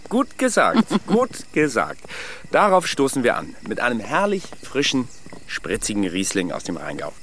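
A man's voice: medium pitch, huge pitch swings, unhurried, a bit bright, a little hiss or hum. An actor playing a domineering yet jovial German riding master in a radio play, barking commands and charming his guests heartily.

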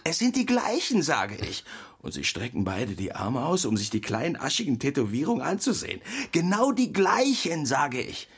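Animated, husky storytelling husband. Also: male voice, medium pitch, moderate pace, full character voice. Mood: animated, insistent. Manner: theatrical anecdote telling with quoted dialogue. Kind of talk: radio play storytelling.

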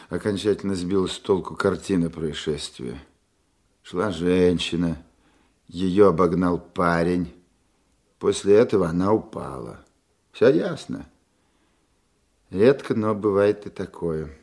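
Veteran detective chief, low voice, calm, methodical, dry authority, radio play. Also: male voice. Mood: thoughtful. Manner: measured, questioning, dryly authoritative. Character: calm seasoned male police investigation chief, methodical, wry. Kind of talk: Russian radio drama acting.

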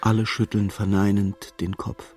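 An actor narrating a dark literary radio play as a solemn, measured storyteller. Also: male voice, on the low side, level tone, soft background music, natural voice. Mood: grave, urgent.

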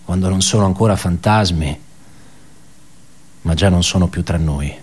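Radio drama monologue: world-weary, ironic office worker, low-pitched, conversational and self-correcting. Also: male voice, normal speed, a little mellow, breathy edge. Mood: sardonic, musing. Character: wry, bitter male office worker recounting colleagues' suicides. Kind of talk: theatrical radio monologue, reflective storytelling.

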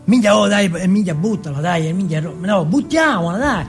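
Excitable foul-mouthed teenage boy played by a man, raised pitch, insistent street slang, theatre monologue over clear music. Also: a little fast. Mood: excited, urgent. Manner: slangy, swearing, insistent, repetitive. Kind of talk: one-man stage monologue dialogue.

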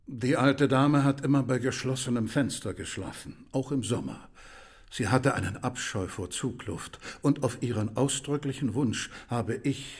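An actor playing a dutiful, discreet manservant in a radio drama, speaking earnestly and with deference. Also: male voice, medium pitch, husky. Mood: sombre, regretful. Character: Mister Kirby, dutiful, discreet household manservant.

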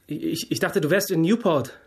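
A man's voice: a little high, normal speed, vocal fry, darkish tone. An actor playing an awkward, naive young man in a German radio drama, hesitant and sheepish. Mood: flustered. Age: young adult. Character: awkward, sheepish twenty-year-old lad, naive and evasive.

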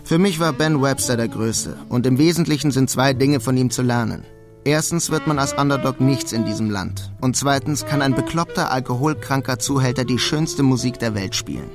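Music plays behind a male actor as a disillusioned painter in a radio crime play. He speaks at a medium pitch, wry and guarded, matter-of-fact in his delivery. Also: normal speed, close to an everyday voice. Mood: reflective, wry. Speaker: actor, narrator. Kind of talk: German radio crime drama, first-person narration and dialogue.

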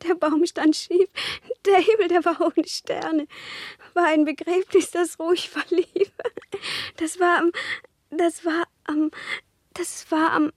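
An actress playing a dead cook, a plague victim, telling of her burial in halting, plaintive radio play verse. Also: extremely high pitch, steady tone, dry recording, studio quality. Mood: mournful, eerie. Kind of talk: poetic radio play monologue.

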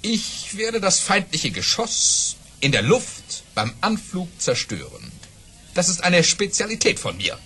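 An actor playing a boastful baron who tells tall tales in a grandiose, theatrical audio play style. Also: male voice, high voice, huge pitch swings, unhurried, bright, forceful tone, faint music behind, heavily acted. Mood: cocky, commanding.

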